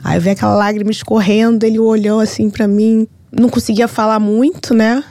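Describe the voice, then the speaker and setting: A woman from Brazil with a Brazilian accent, telling a personal story in an emotional, earnest and detailed way, halting at heavy moments. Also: fairly high pitch, level tone, mellow, dry recording.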